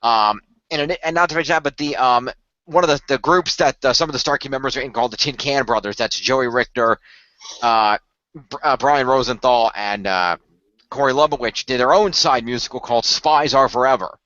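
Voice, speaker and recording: Male podcast co-host, very quick talker, detail-heavy fan enthusiasm, casual, slightly lo-fi sound. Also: medium pitch, bright, forceful tone, dry recording.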